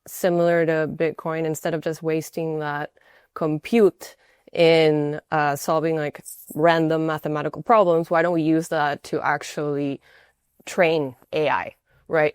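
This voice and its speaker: A woman from Peru, a startup co-founder, explaining her work in a measured way as a podcast guest. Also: low voice, darkish tone, clear, resonant tone, no room sound, clean studio sound. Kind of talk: podcast interview.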